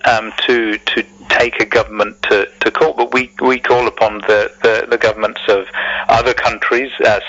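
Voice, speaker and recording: A man giving earnest, measured radio interview answers as a community spokesman, in a hesitant, halting way with repeated words. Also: mid-range voice, pushed and bright. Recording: muffled lo-fi radio recording.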